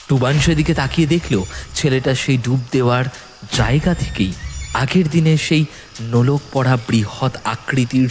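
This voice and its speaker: A man with a mid-pitched voice narrates a ghost story. His scripted delivery is dramatic and suspenseful, with faint music running behind him.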